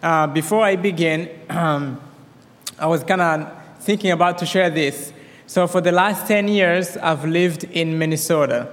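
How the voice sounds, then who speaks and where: A young man with a Rwandan accent tells his life story to a church congregation. He talks fast and eagerly, often stopping to restart a phrase. His voice sits a little high and carries a long reverb off the walls of a big hall. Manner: fast, excited, with many restarts. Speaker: guest speaker. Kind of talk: personal testimony in church.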